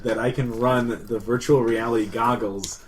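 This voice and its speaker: A man on a podcast, chatting informally in an animated, emphatic way. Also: mid-range voice, moderate pace, noisy background. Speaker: podcast co-host. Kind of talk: casual chat. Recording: busy group chatter behind.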